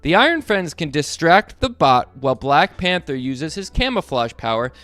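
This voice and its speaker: A man reads a picture book aloud to kids, playful and animated, stopping to get them to join in. His voice is fairly high for a man and swings up and down a lot. The sound is dry and close, with faint music behind him. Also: moderate pace, no room sound. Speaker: storyteller reading aloud to kids. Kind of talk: children's read-aloud.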